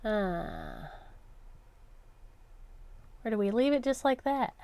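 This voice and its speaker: High-voiced woman chatting through a craft livestream. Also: animated, slow pace, warm tone. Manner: chatty, self-narrating, repeats words. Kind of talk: casual live craft chat, thinking aloud.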